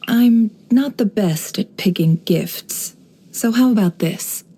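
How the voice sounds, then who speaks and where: A woman voicing a cool, shrewd, guarded young woman in a video game, composed and wry, with measured, knowing delivery. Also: mid-range voice, mellow, breathy edge. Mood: wry, playful. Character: cool, shrewd, guarded young desert-born woman running an information business. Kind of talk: scripted game character voice lines.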